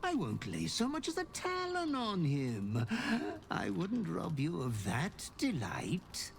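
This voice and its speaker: An actor playing a sinister male butler in a video game, speaking smoothly, slowly and with menace. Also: fairly high pitch, wildly swooping pitch, slight background noise, full character voice. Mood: menacing. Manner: smooth, sinister, slow and insinuating. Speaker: game character.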